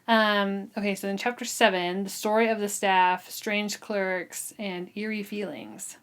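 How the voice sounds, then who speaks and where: A woman's voice: medium pitch, steady tone, a little slow, fairly bright tone, clean tone. Casual woman podcaster chatting about books. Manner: conversational, uses "like" often. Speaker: book club podcast host. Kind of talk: casual book discussion.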